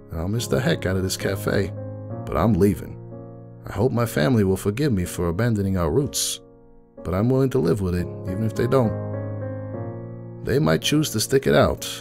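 Man voicing bitter grieving cafe-owner pony, low gravelly voice, weary, dramatic storytelling over music. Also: expressive, moderate pace, darkish tone. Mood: despairing resolve. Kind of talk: dramatic fan fiction reading in first person.

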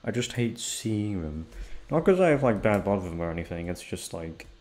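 A young man rambling in a casual chat, swearing often and jumping into high-pitched exclamations. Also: sing-song, moderate pace, a little mellow. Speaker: audio roleplay creator.

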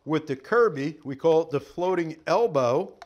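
A man's voice: a little high, expressive, moderate pace, muffled recording. Upbeat, folksy man demoing gear. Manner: folksy, upbeat, jokey patter while handling gear. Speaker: sales trainer. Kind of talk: product demonstration. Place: staged living room set, indoors.

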